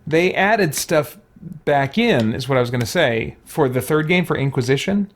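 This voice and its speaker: A man's voice: on the high side, expressive, moderate pace. A man giving casual gameplay commentary as a streamer, thinking aloud in a rambling, conversational way and chatting with viewers. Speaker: streamer, let's play host. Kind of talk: casual gameplay commentary, reading and answering chat.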